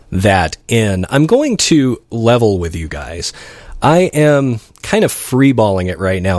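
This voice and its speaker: Low-voiced man hosting casual radio. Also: dry recording, clean studio sound. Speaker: internet radio host and DJ. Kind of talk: casual radio show chat between songs.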